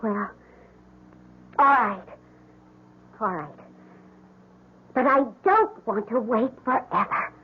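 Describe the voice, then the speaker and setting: An actress playing a manipulative, demanding young mistress in an old-time radio drama, coquettish, petulant and defiant. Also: female voice, fairly high pitch, takes it slowly, pauses often, slight background noise. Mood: cool sulking. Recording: tinny vintage radio broadcast.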